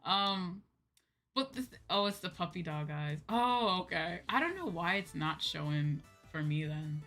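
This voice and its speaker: A woman chats casually on a livestream in a clearly bright, forward voice that sits a little low. She is animated and informal, talking straight to her viewers. Faint music plays behind her. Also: measured pace. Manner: animated, informal, chatty, often seeks agreement with "right?". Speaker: VTuber streamer chatting with viewers. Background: background music.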